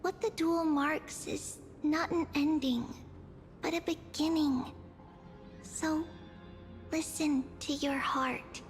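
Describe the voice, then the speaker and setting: High-voiced, solemn little oracle. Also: female voice, steady tone, slow, pauses often, soft background music. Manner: solemn, ceremonial, encouraging. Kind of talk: video game voice acting.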